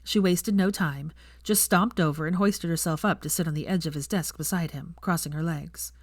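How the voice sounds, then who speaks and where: A woman narrates a story from a script. Her voice sits a little low and the recording is dry and close, with very little room sound. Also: moderate pace, dry recording. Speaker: narrator of fan fiction readings.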